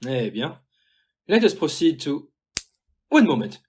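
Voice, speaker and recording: An actor playing a courteous, shrewd foreign detective in an audio play, speaking formally with French exclamations. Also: male voice, on the high side, big pitch swings, a little slow, darkish tone. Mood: deliberate, probing.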